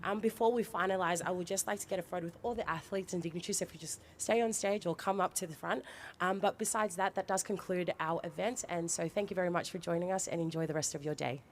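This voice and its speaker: A woman presents a live event and puts questions to her guests. Her voice sits a little low, and she speaks in an upbeat, encouraging way. Faint background noise comes through. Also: fast talker. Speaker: event host interviewing athletes.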